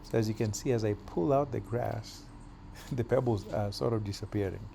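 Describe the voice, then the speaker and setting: Gravelly, dark-voiced Kenyan man vlogging. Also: lowish voice, slight background noise. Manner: relaxed, chatty, frequent filler pauses. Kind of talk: casual yard-work vlog narration. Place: outdoors in a yard.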